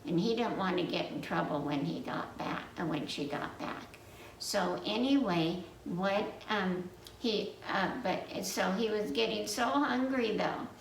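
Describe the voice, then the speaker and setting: A woman tells a children's story at an unhurried pace, meandering as she goes. Her voice has some fry in it. She is recorded at a distance from the microphone in a roomy space. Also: medium pitch. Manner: halting, meandering telling with dramatic questions to listeners. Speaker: library storyteller. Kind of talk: children's story time, told from memory. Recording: roomy, distant mic with faint noise.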